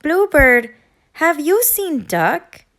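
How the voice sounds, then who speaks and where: A woman's voice: sits high, sing-song, drawn-out words, fairly bright tone, pitched above the performer's own voice, heavily acted. A woman voicing a proud, fussy male goose in a storybook, careful and given to grumbling.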